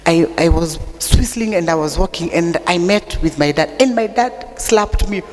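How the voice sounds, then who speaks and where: A Kenyan woman with an unusually deep voice, preaching a sermon that mixes English and Swahili, telling stories with humor. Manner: chatty storytelling with humor. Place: church. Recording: long church reverb.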